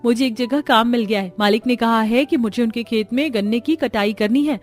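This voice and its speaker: A woman's voice: fairly high pitch, a little fast, close to an everyday voice. A woman voicing earnest, caring women of a poor village family in a cartoon story. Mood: happy, hopeful. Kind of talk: Hindi cartoon story dialogue.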